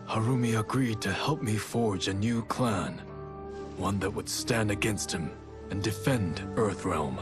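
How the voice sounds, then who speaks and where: An actor playing an honorable, resolute ninja clan founder, narrating in a measured, earnest and grave way for a video game. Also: male voice, on the low side. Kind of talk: scripted dramatic game narration.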